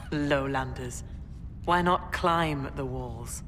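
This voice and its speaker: A woman's voice: rumbling low, extremely slow, noisy background, full character voice. A woman playing a proud, blunt mountain tribe warrior in a video game, speaking in stately declarations. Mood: scornful. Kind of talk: video game cutscene acting. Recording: long reverb, clear background ambience.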